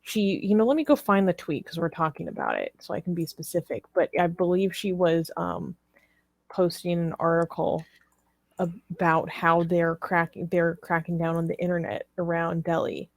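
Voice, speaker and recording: A woman's voice: fairly low pitch, normal speed, vocal fry, dry recording. A woman co-hosting a live discussion, reading articles aloud and commenting on them. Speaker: talk show co-host.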